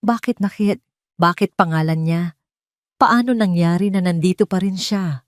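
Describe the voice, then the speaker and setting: A woman's voice: fairly low pitch, moderate pace, no echo, clean studio sound, lightly acted. Woman voicing an anxious, calculating wife. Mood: trembling, shocked. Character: anxious, cold, calculating wife of a rich man.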